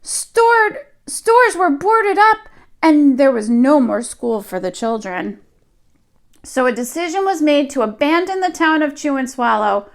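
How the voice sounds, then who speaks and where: A woman reading aloud to young children in an expressive storytelling style, with dramatic emphasis. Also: sits high, a little slow. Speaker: children's story reader, teacher.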